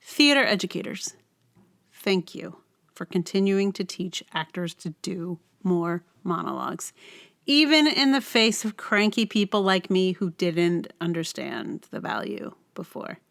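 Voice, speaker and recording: A woman's voice: lowish voice, very animated, a little slow, gravelly, a bit bright. A woman hosting a solo podcast in a casual, rambling way, often thinking aloud in unfinished phrases. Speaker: podcast host.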